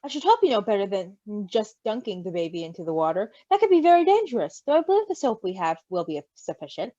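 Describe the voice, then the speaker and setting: A woman's voice: mid-range voice, sing-song, fast, warm tone, dry recording, dull audio. Storybook crow, male female reader, prim and easily ruffled, precise formal diction, very dry sound. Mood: stern, instructive. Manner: formal, precise, haughty wording.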